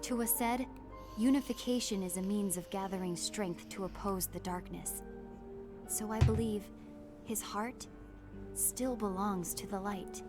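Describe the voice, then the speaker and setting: A composed young woman leader, played by an actress in a fantasy game cutscene, earnest and formal. Her mid-pitched voice moves with measured care. Game music plays clearly behind her. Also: lightly acted. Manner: formal, measured, careful diction. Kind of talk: dramatic video game cutscene dialogue.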